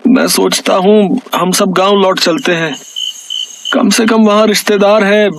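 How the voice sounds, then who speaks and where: A man's voice: sits high, a bit bright. A man playing a poor, worried labourer in a moral story, speaking in a plaintive, everyday colloquial way. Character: worried poor male street water seller and labourer. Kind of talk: acted moral story dialogue.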